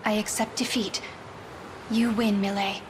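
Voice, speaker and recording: A woman's voice: level tone, a little slow, background noise, natural voice. A woman voicing an earnest, proper young noblewoman who dreams of knighthood, speaking formally in a video game. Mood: resolute, earnest. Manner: formal, high-flown, earnest diction. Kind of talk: scripted video game voice acting.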